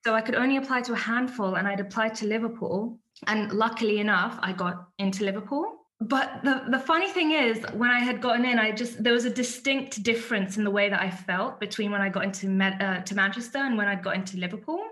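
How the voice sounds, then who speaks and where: A woman's voice: mid-range voice, brisk, hardly any pauses, fairly bright tone. A woman, telling her story as a podcast guest in a candid, chatty way. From born in Iraq, raised in London. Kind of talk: casual interview chat.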